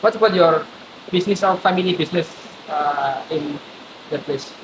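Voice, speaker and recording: A man's voice: on the high side, moderate pace. A man hosting a casual podcast interview who asks questions in a playful, jokey way. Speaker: podcast host. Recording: echoey room with hiss.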